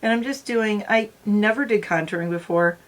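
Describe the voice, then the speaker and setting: A middle-aged woman with a slightly raspy morning voice, chatting and rambling to her viewers while doing her makeup. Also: medium pitch, normal speed. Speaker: beauty vlogger. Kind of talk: casual makeup tutorial chat.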